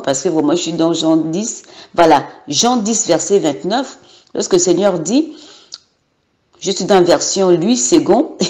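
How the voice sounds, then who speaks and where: A woman's voice: fairly low pitch, darkish tone. Hesitant woman giving a personal testimony. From Gabon. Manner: hesitant, many fillers and repetitions.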